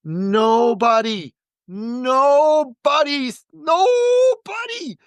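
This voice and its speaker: A man talking as a podcast guest and business coach, giving an energetic pitch and repeating words for emphasis. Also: drawn-out words, dry recording.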